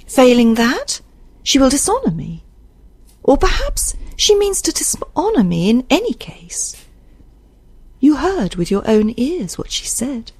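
A woman's voice: high-pitched, wildly swooping pitch, a little slow, a little mellow, husky, no room sound, voice pushed up, heavily acted. A woman voicing an anxious, strong-willed younger lady from a period novel, questioning with urgent emphasis. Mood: bitter, fearful.

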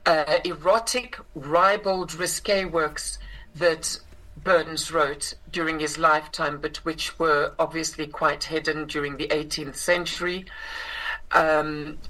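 A woman's voice: fairly low pitch, a bit bright, low-quality recording. A woman with a South African accent, a singer and actress, telling an interviewer about her show in a chatty way with many ums and restarts. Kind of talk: casual interview chat.

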